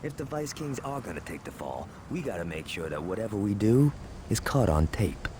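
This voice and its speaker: Very loud car and tire noise surrounds a scheming gangster in a video game. His deep voice moves up and down freely, yet stays matter-of-fact. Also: sing-song, moderate pace. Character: deep-voiced, scheming male gang member.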